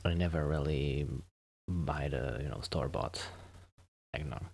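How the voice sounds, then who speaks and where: A man doing a soft-spoken, casual ASMR roleplay, chatting gently and hesitantly to the listener, at times dropping into a whisper. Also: extremely low pitch, measured pace, vocal fry, husky. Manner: hesitant, rambling, gentle, often drifting into whispers. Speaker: ASMR performer playing a friendly host.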